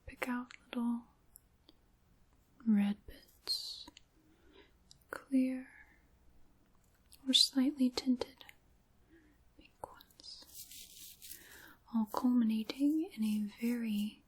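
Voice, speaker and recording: A seductive, sinister witch acted by a woman murmurs in a very breathy whisper, fairly high for all its softness. She takes her time over every phrase with theatrical deliberation. It is intimate, close-mic ASMR roleplay with a taste for dark chaos. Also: exaggerated voice. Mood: hushed, savoring. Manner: slow, deliberate, hushed, theatrical. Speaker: ASMR performer. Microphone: close microphone.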